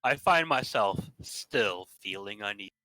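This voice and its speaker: A man's voice: fairly low pitch, lively intonation, a little slow, sharp tone, no echo, low-quality recording. A man reading first-person narration aloud in a casual staged reading, in a playful way. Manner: playful, dropping jokey asides.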